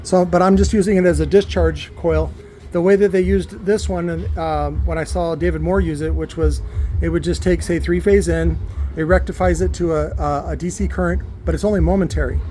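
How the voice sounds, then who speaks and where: A man giving an informal technical explanation as an electronics builder, halting and often repeating words as he works through his point. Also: a little high, steady tone, mellow, slight background noise.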